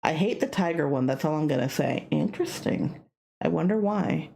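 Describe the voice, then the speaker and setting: A woman streamer with a playful, animated manner, reading a visual novel aloud with casual asides. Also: low-pitched, moderate pace, creaky, slight background noise.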